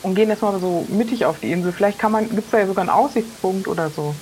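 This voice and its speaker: A woman's voice: medium pitch, quick pace, darkish tone, slight background noise, low-quality recording. A woman improvising in character as a player in a tabletop role-playing game. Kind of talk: improvised in-character chat and play.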